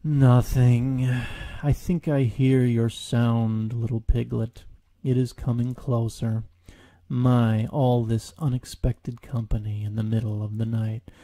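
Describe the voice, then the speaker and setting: A man voicing a sad, gloomy gray donkey in a storybook, slow, doleful and resigned. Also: medium pitch, flat delivery, mellow. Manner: slow, doleful, sighing delivery.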